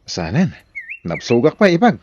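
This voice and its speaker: Lively, flirtatious brother-in-law, Ilocano accent. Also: male voice, on the high side, normal speed, muffled recording, slight background noise. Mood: flirtatious, teasing. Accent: Filipino, Ilocano. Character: Jeric, obliging, flirtatious married brother-in-law. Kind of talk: Ilocano audio drama acting.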